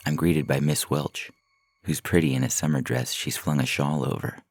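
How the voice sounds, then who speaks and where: A man reading a first-person short story as a narrator, in a measured, deliberate way, from a script. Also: very deep voice, fairly even intonation, a little hiss or hum. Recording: music bed under the voice. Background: synthesizer music.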